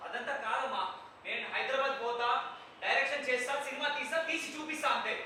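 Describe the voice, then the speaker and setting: A quick, high voice from a man playing a defiant young villager set on directing films. He talks back fast and bright. It is heard far off in an echoing hall. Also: slight background noise, full character voice. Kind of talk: stage play acting. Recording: echoey hall, far from mic.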